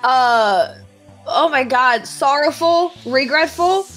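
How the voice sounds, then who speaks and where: A high, lively woman's voice that takes its time, casual and gushing as she reacts among friends. She is prone to giggling, and music plays faintly underneath. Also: takes it slowly. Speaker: cast member giving commentary. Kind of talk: casual group reaction chat.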